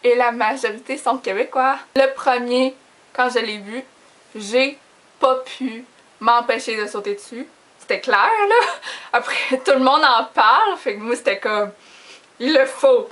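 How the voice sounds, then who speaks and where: High-voiced young Québécoise vlogger. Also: female voice, measured pace, a bit bright. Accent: Quebec French. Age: young adult. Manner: informal, giggly, self-deprecating. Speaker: book vlogger. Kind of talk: casual chat to camera.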